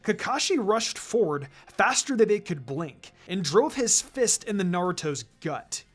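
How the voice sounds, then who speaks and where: Lively story narrator over background music. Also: mid-range voice, measured pace. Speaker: narrator of fan fiction readings. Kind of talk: expressive story narration, read aloud. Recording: music under the voice.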